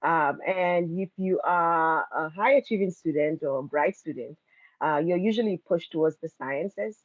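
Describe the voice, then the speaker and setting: A woman's voice: deep voice, normal speed, no echo. Woman on muffled, narrow-band audio. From Lagos, Nigeria. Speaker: college professor, podcast guest. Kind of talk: conversational interview storytelling.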